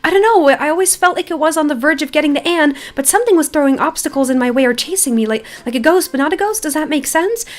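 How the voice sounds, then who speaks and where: Woman playing a confused, high-pitched young rider girl. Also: animated, quick pace, hardly any pauses. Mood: confused, exasperated. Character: confused, exasperated young female rider friend. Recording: music behind the voice.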